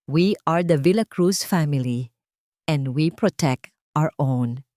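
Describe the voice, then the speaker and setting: A woman's voice: sits low, slow, mellow, dry recording, clean studio sound. Woman as a pompous, powerful corrupt patriarch. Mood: falsely proud. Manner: pompous speechmaking. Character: powerful corrupt patriarch, falsely emotional and authoritative. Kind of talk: audio drama speech.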